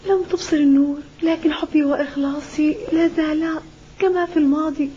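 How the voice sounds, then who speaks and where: An actress playing a devoted, earnest young daughter who pleads tenderly in a cartoon drama dub. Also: female voice, high voice, steady tone, a little fast, dark tone, lightly acted. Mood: earnest pleading. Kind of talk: animated drama dub acting. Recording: dull lo-fi cartoon soundtrack.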